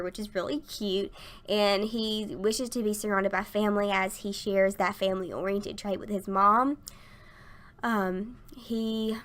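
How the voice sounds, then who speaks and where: A young woman chatting casually through a game voice-over, her voice a little raspy from a sinus infection. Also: mid-range voice, a bit bright. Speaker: gaming YouTuber.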